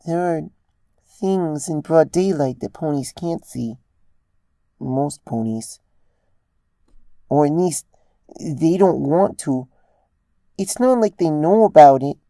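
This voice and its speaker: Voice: mid-range voice, lively intonation, takes it slowly, long pauses, warm tone, no room sound, voice pushed up. A narrator voicing an adult female pony, a mother who confides in a hesitant, earnest way, in a story reading. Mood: hesitant, confiding.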